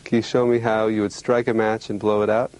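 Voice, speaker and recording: A man speaking as a clinician demonstrating an exam, giving polite, measured, clearly worded instructions and explanations. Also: fairly low pitch, level tone, a little mellow, poor audio.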